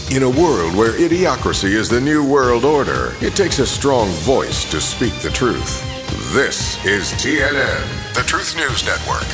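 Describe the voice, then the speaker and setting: A man's voice: medium pitch, big pitch swings, measured pace. A man reading a dramatic show introduction as a voice-over announcer. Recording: music bed under voice.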